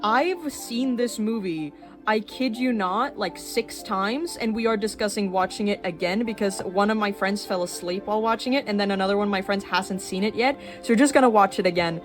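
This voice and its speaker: A woman of twenty-one chatting casually in a rambling way while showing her sketchbook, with fillers and quick laughs. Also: medium pitch. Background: soft background music.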